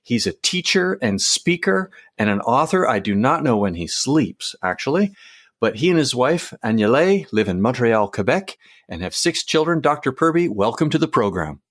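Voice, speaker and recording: A man's voice: mid-range voice, big pitch swings, normal speed, fairly bright tone. A man hosting an interview podcast, introducing and questioning in a conversational style.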